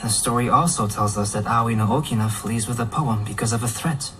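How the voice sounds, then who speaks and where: Actor as a composed young gentleman sleuth, mid-pitched. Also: a crowd in the background, lightly acted, poor audio. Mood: calm, reassuring. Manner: measured, articulate, formal. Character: calm, analytical, courteous young man, a gentleman sleuth. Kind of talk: English video game voice acting. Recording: game music playing behind.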